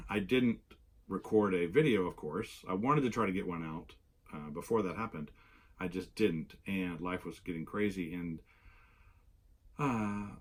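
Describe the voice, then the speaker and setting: A low, husky-voiced man talks casually to his viewers. He is still a little hoarse after losing his voice, and he rambles, with plenty of ums and self-corrections. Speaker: knitting channel host. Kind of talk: casual solo vlog.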